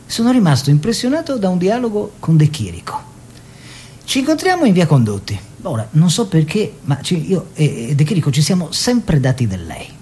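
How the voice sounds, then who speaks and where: A genial aristocratic bohemian husband recounts his memories like a stage raconteur. His pitch swings in a lively way as he mimics conversations and savors drawn-out words, over faint noise and rumble. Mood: amused, savoring. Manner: theatrical storytelling with mimicked dialogue and drawn-out words. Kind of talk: Italian stage-style radio drama, narrated recollection.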